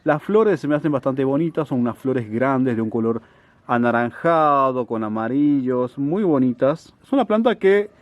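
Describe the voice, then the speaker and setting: A man's voice: medium pitch, expressive, no echo, dull audio. A man from in a casual, chatty show-and-tell, often asking "¿no?" as he talks. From Salta, Argentina. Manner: chatty, unhurried, frequent "¿no?" asides. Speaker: succulent grower, channel host.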